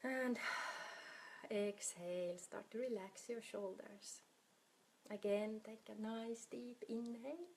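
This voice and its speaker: A woman leading a guided meditation in a soft, soothing, unhurried manner, her breathy voice often trailing off toward a whisper. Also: a little high, slight background noise.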